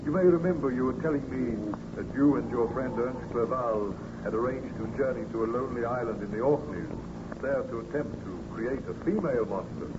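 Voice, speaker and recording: Man as measured questioner on muffled old radio. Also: mid-range voice, noisy background, close to an everyday voice. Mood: inquiring. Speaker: listener prompting the scientist's account. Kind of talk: old-time radio drama acting.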